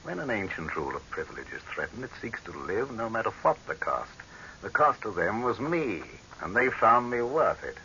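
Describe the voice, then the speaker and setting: A hissy, dull 1930s radio horror recording carries a proud dictator trapped and facing death. His voice is low and forceful, and he speaks like a commanding orator, full of contempt for whoever hears him. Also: big pitch swings, moderate pace, full character voice. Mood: forceful, contemptuous.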